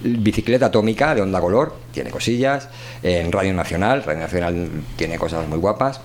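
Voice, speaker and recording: Low-voiced man chatting informally on radio. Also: animated, moderate pace, a little hiss or hum. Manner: colloquial, checks in with short tag questions. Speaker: radio show host and voice performer. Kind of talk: informal spoken radio talk.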